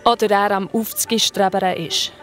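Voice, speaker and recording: Woman narrating a children's story, soft music. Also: medium pitch, normal speed. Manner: colloquial, expressive.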